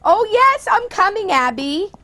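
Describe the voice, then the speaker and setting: Radio drama old lady female actor: gentle, flustered aunt, high-pitched and unhurried, hesitant. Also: sing-song, heavily acted. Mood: flustered, polite. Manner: fluttery, hesitant. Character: sweet, dithering, kindly old aunt. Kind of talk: old-time radio play acting.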